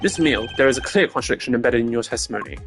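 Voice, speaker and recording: A man acts out a stern video game prosecutor of medium pitch. He questions in precise, formal sentences with a dry, cutting edge. Game music plays clearly behind him. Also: animated, racing, natural voice. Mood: pressing.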